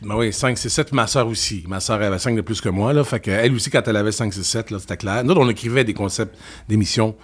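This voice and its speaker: A man in his fifties with a Québécois French accent, telling stories about his years as a music TV host in a lively, chatty way. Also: fairly low pitch, breathy edge. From Quebec. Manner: animated storyteller, quick and chatty. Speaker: podcast guest, former music TV host. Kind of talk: casual interview chat.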